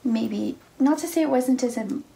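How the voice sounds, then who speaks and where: A woman's voice: high voice, normal speed, vocal fry, dark tone. A young woman from Canada and the United States talking casually about her family and faith on a living room couch, filling her speech with "like" and "right?". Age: young adult. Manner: chatty, lots of "like" and "right?". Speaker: church speaker.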